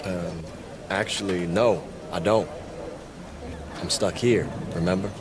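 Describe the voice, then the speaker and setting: An actor voicing a cocky, sarcastic young basketball player in a video game, with an American accent and slangy delivery. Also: male voice, low-pitched, fairly even intonation, slow, crowd noise. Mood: casual, deflated. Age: young adult.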